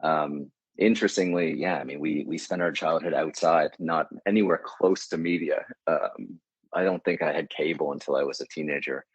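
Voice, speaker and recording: A man's voice: extremely low pitch, steady tone, moderate pace, slightly rough, dry recording, lo-fi sound. A man in his thirties with a Canadian accent, answering interview questions in a casual, thoughtful, slightly hesitant way. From Canada, Prince Edward Island. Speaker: podcast guest, media agency CEO.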